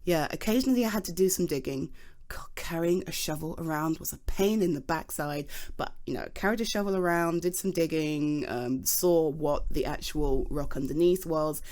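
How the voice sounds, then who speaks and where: British woman playing a earth nerd geologist girl, lowish voice, chatty n flustered but keen, asmr roleplay style. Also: a little mellow, close to an everyday voice. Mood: earnest, enthusiastic. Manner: chatty, halting, giggly, explains earnestly. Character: good-humoured, nerdy young female geologist, flustered but enthusiastic. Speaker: audio roleplay performer. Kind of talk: ASMR roleplay, conversational one-sided dialogue.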